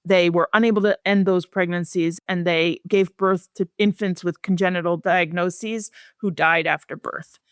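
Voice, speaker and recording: A woman speaking as an expert guest in a research interview, explaining things in a measured, plain-spoken way. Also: fairly low pitch, fairly even intonation, smooth, no room sound, clean studio sound.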